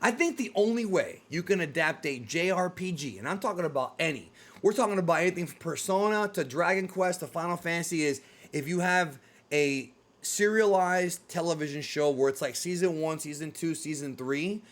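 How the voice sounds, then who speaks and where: A man's voice: a little high, expressive, moderate pace, few pauses, fairly bright tone. A man hosting a casual, opinionated discussion, speaking emphatically and repeating himself to drive points home. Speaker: host of a video game and film talk show.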